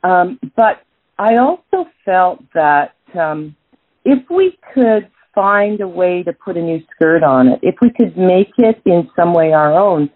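Canadian jazz singer lady, middle-aged, husky, lots of ums and restarts, phone-ish audio. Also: on the low side, lively intonation, a little slow, no room sound. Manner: thoughtful, many restarts and fillers. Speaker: jazz singer, interview guest. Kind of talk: casual interview answers. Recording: tinny phone-like line.

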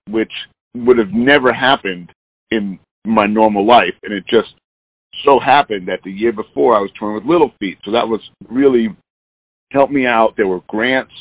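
A man's voice: mid-range voice, creaky, no room sound. A man in his sixties, a musician being interviewed, talking in a loose, candid, rambling way. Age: 60s. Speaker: musician guest. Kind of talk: casual interview chat. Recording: dull lo-fi line.

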